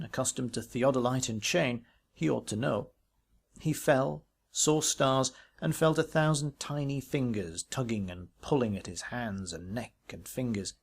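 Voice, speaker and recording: A man reading a ghost story aloud as literary narration, in a measured, expressive way with varied emphasis. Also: mid-range voice, gravelly, slightly rough. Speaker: audiobook reader.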